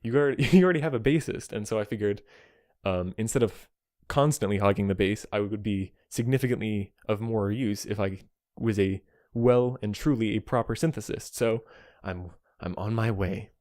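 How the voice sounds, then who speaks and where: A man's voice: fairly low pitch, moderate pace, a little mellow, no echo, clean studio sound. A man giving a casual, rambling synthesizer demonstration to a friend, enthusiastic yet self-deprecating and apologetic, speaking as an amateur player. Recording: music behind, occasionally overloaded mic. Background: synthesizer tones.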